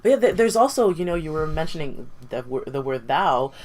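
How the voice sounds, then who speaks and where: A woman's voice: low-pitched, lively intonation, brisk, darkish tone. A woman whose first language is not English, thoughtfully discussing a novel on a book podcast panel, with many restarts.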